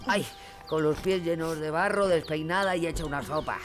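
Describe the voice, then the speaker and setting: A woman's voice: low-pitched, normal speed, lightly acted. A woman playing a bitter, crippled older woman, a former cigarette-factory worker, complaining plaintively in a radio drama. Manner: plaintive, grumbling. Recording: radio drama with music behind.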